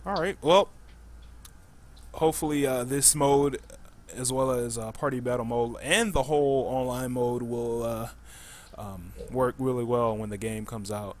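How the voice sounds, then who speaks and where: A man hosting a podcast casually, halting often as he thinks aloud. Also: medium pitch. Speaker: podcast host. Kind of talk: casual, loosely structured hosting.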